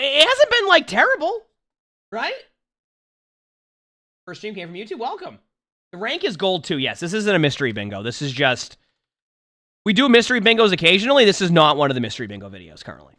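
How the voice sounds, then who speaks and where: A man streaming and coaching a player through gameplay, talking directly to them about their mistakes, a little hoarse from being under the weather. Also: high voice, wildly swooping pitch, fairly quick, long pauses, sharp tone, no room sound, studio quality.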